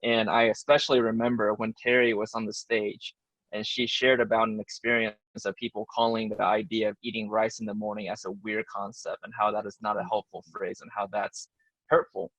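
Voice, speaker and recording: A man's voice: lowish voice, little pitch movement, brisk, a bit bright, no room sound, low-quality recording. A man born in Taiwan and raised in the United States, a church member sharing his story reflectively in a panel over a video call. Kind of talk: personal testimony in a discussion.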